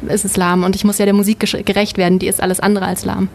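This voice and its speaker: A woman who writes programme texts for a venue, speaking as a guest in a casual radio interview in a relaxed way, often laughing. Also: medium pitch, fast talker, vocal fry, a little hiss or hum.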